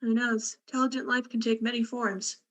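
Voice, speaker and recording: A woman playing a thoughtful, unruffled doctor and scientist in a radio drama, musing and measured. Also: fairly high pitch, flat delivery, close to an everyday voice.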